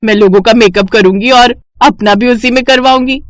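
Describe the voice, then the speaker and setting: A woman playing a vain, sharp-tongued village wife in an audio story. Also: a little high, moderate pace, no echo, clean studio sound, close to an everyday voice. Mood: earnest.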